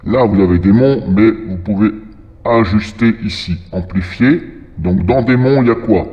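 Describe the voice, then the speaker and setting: A man giving an informal software tutorial through a demon voice-changer effect, rambling and thinking aloud. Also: moderate pace, lo-fi sound. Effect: demon voice changer: lowered pitch, reverb. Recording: long echoing reverb from effect.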